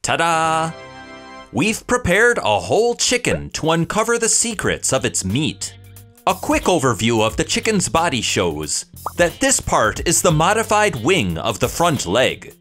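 A man's voice: a little high, huge pitch swings, unhurried, fairly bright tone, faint music behind. A man delivering scripted educational science narration, explaining things in a presentational way to viewers. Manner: explanatory, presentational, addresses viewers with rhetorical questions.

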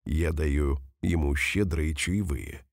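A man reading example sentences slowly and carefully for language learners, saying each one twice. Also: very deep voice, expressive, vocal fry, dry recording, clean studio sound. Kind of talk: slow repeated phrase reading for learners.

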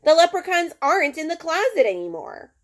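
A woman's voice: very high-pitched, big pitch swings, a little fast, pitched above the performer's own voice. A woman voicing a sheepish, mumbling boy in a read-aloud chapter book. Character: sulky boy, older brother.

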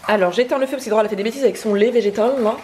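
A woman's voice: fairly high pitch, very fast, slight background noise. A young woman chatting casually to camera in her home kitchen, explaining breakfast as she goes. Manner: chatty, lively, explains while cooking. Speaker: vlogger co-host.

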